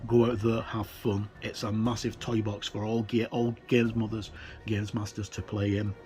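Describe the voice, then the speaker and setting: A middle-aged or older man giving a casual, unscripted look through a book as a tabletop game reviewer, in a rambling, hesitant way with many pauses. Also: lowish voice, level tone, darkish tone. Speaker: reviewer and presenter of tabletop games. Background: ambient music with wind sounds.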